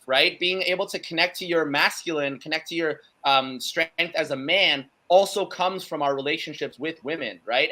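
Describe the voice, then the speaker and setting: A man whose first language is Cantonese chats on a video call from home, eager and rambling, padding his thoughts with fillers. His voice sits a little high and sounds bright and forward. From Canada. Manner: enthusiastic, rambling with many fillers. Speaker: guest speaker. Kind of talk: casual chat. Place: home room.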